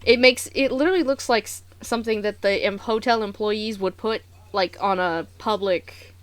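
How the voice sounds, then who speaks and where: A woman hosting a reaction video, commenting casually in an animated, exclamatory, slangy way. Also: mid-range voice, moderate pace.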